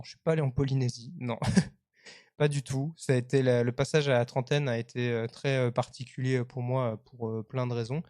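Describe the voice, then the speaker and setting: A man talks as the host of a live stream. His voice sits at an average pitch and is recorded very dry. He comments conversationally, often hesitating and feeling his way through each sentence. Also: mellow, no echo. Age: 30s. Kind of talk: live commentary on web culture, casual press review.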